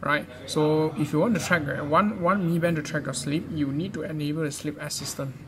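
A man's voice: fairly high pitch, fairly even intonation, a little fast. A man giving an informal step-by-step gadget tutorial in a hesitant, repetitive way. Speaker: presenter of a gadget how-to guide. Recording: clearly noisy amateur recording.

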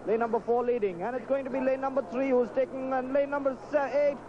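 A man giving excited live athletics commentary. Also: extremely high pitch, quick pace. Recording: dull noisy outdoor broadcast recording.

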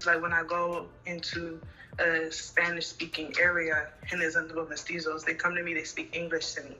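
Bright-voiced young woman on a video call. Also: low voice, completely flat delivery, highs cut off, faint music behind. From Honduras, Garifuna. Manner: casual, fast, peppered with "like". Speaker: guest. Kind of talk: casual video call interview. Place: small bedroom indoors. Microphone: phone at arm's length on video call.

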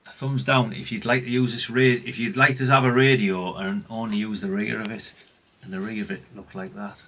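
A man's voice: on the low side, flat delivery, fairly quick, pushed and bright. A middle-aged British man giving casual, unscripted workbench commentary in a rambling, chatty, plain-spoken way. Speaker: hobbyist radio repair vlogger.

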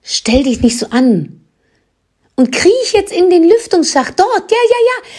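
A woman plays a bossy old witch in a comic audio drama. She scolds and snaps impatiently, with a very lively pitch that rises as she nags. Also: measured pace, exaggerated voice.

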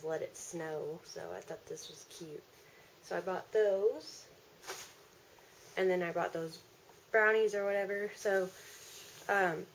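A woman in her twenties chatting casually to camera in an informal, rambling way. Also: lowish voice. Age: 20s. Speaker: vlogger. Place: small room at home, indoors. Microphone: handheld camera at arm's length. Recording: noisy handheld camera in a room. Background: clear background noise.

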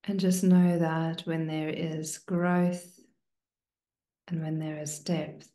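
A woman meditation teacher leading a guided meditation in a hushed, soothing manner, leaving long pauses between short phrases. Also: deep voice, level tone. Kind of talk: guided meditation, slow and spoken to listeners. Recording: roomy, echoing sound.

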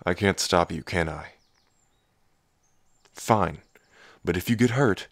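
Man acting a deep, brooding, slow-spoken werewolf. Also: creaky, no room sound, heavily acted. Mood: reluctant, warning. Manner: brooding, guarded, close and intimate. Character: gruff, protective, hot-tempered male werewolf lover. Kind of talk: ASMR boyfriend roleplay audio drama.

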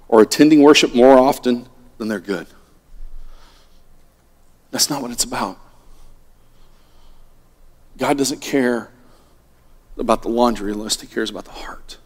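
Man, middle-aged, pastor, sermon, loud and hushed swings, church hall reverb. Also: lowish voice. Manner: swings from loud and animated to hushed, near-whispered lines. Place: church sanctuary at a pulpit.

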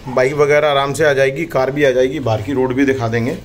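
A man's voice: mid-range voice, flat delivery, fairly quick. A man in his thirties presenting a house for sale in a plain conversational vlog, with hesitation fillers. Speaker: property dealer. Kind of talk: real estate listing vlog. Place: indoor office with decor shelves, then outdoors walking through a lane.

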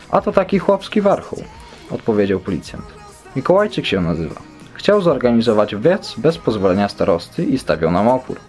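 A man's voice: mid-range voice, darkish tone. A man reading a memoir excerpt aloud as a dramatised scripted narration. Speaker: reader of a quoted memoir. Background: music.

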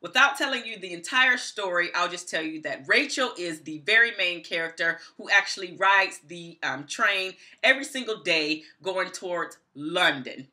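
Emphatic woman with a very bright voice. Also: lowish voice, expressive, normal speed, hardly any pauses. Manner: emphatic, repeats phrases for stress. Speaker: book reviewer, vlogger. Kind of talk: casual review talk to camera. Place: small indoor room at home.

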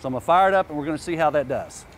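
High-voiced man giving an outdoor how-to. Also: lively intonation, rapid-fire, a little hiss or hum. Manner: plainspoken, practical, casual asides. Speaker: company presenter. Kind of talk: how-to demonstration. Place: outdoor industrial yard. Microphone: lapel mic.

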